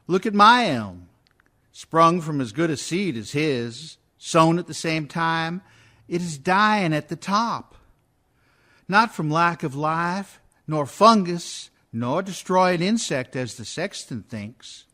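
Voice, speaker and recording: A man's voice: fairly high pitch, animated, takes it slowly, pushed and bright, full character voice. A man playing a plain-spoken, disgruntled laborer, blunt and argumentative, in a dramatic radio verse monologue. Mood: bitter insistence. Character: plain-spoken, disgruntled dead ditch digger.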